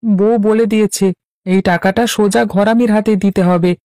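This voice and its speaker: An actor voicing a naive, dithering young village husband who is anxious to please in a cartoon. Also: male voice, high voice, fairly even intonation, moderate pace, warm tone, smooth, dry recording, studio quality. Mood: worried, dithering. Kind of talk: Bengali cartoon voice acting.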